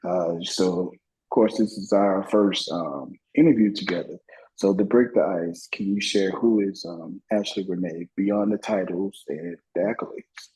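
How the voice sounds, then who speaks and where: A man from Florida with an American accent and a husky voice, hosting a conversational interview in a halting way. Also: deep voice, mellow, low-quality recording. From Florida, United States. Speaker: music show host.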